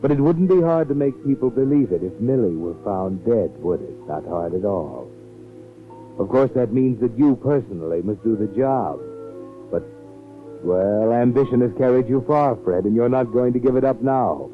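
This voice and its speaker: An actor playing a sinister, all-knowing, mockingly insinuating narrator in a 1940s radio mystery. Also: male voice, mid-range voice, expressive, moderate pace, close to an everyday voice. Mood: knowing, taunting. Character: sinister, all-knowing male narrator taunting a schemer in second person. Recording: tinny 1940s radio recording with music.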